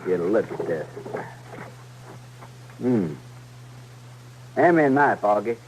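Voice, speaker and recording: An actor with a rustic American accent playing a sadistic, mock-polite Old West outlaw leader in a radio western. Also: male voice, fairly low pitch, animated, slow. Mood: mock-polite, menacing. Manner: folksy, sneering, mock-courteous. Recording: noisy vintage radio recording with hum.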